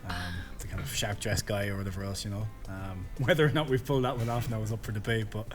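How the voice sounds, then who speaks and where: A man's voice: on the low side, steady tone, fast, soft background music. An Irish man in a band, chatting loosely in an interview with plenty of fillers and restarts. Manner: rambling, lots of fillers. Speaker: band member, drummer. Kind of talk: casual interview chat.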